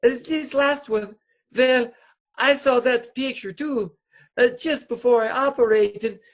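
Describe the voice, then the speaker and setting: A woman's voice: a little high, a little slow, close to an everyday voice. A woman playing a nervous, tipsy criminal doctor who placates hesitantly in broken English, in a radio stage comedy. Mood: placating.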